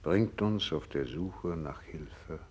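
An actor in a 1960s radio drama playing a gravely ill, devout doctor, husky and creaky, speaking slowly and solemnly with resigned fervour. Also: male voice, a bass voice, darkish tone, a little hiss or hum. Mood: grateful, resolute. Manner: slow, solemn, resigned, fervent. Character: gravely ill, devout, selfless male Japanese doctor nearing death.

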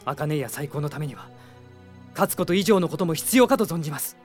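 An actor playing a gentle, idealistic young prince who speaks politely and thoughtfully in a radio drama. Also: male voice, a little high, expressive, brisk, soft background music, lightly acted. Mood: earnest, gentle. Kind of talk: Japanese radio drama acting.

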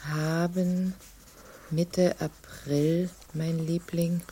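An actress playing a cool, bored fiancée reading out a reproachful letter with pointed deliberation in an audio drama. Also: female voice, on the low side, little pitch movement, extremely slow, dark tone, a little hiss or hum.

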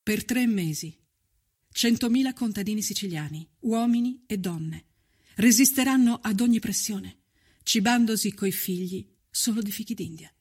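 A woman narrating a scripted historical documentary with solemn, deliberate, measured phrasing. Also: medium pitch, a little mellow, slightly rough.

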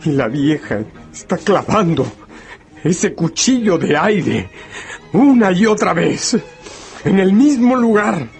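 A man's voice: high-pitched, sing-song, takes it slowly, gravelly, heavily acted. A man narrating a gothic radio drama in a solemn, suspenseful, literary style. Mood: urgent, suspenseful. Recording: radio play with music underneath.

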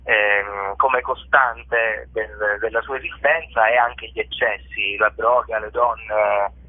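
A man heard over a thin, telephone-like connection, with a slightly husky voice. He is a stage actor in a casual radio interview. He talks with enthusiasm, often stopping and doubling back on words. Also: on the low side, steady tone, slow pace. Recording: narrow phone-like line.

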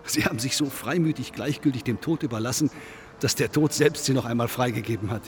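An actor in a radio play playing a self-absorbed congress guest who philosophizes in a pompous, long-winded, declamatory way. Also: male voice, mid-range voice, quick pace, faint music behind, close to an everyday voice. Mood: grandly pondering. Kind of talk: German radio play acting.

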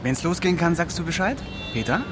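An actor playing an earnest, wordy do-gooder blogger making appeals in a radio drama. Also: male voice, a little high, expressive, quick pace, over music, lightly acted. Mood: moved, encouraging. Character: earnest do-gooder blogger campaigning for a homeless man. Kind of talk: scripted blog-like radio drama narration.

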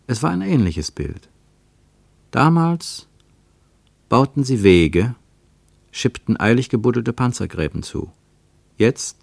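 An actor as the narrator of a literary radio play, telling a travel story in measured, rhythmic phrases. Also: male voice, fairly low pitch, gravelly, a little mellow, no room sound.

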